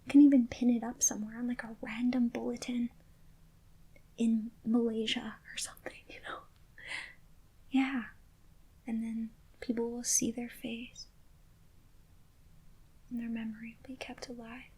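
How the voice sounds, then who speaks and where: A woman speaking in a soft, gentle whisper, reassuring a listener in a comforting ASMR roleplay. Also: fairly high pitch, flat delivery, unhurried, pauses often, slightly rough, poor audio.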